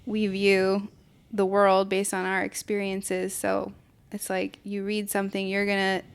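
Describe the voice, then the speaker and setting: A woman's voice: medium pitch, completely flat delivery, a little slow, gravelly, clear, resonant tone. A young woman from New Hampshire, a podcast guest, speaking thoughtfully and a little hesitantly, with many "like"s. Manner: thoughtful, hesitant, says "like" often. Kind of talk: casual podcast chat.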